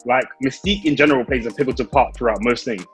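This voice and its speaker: A man's voice: medium pitch, fast, breathy edge, soft background music. A man giving blunt, opinionated takes in casual chat. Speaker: podcast panel member.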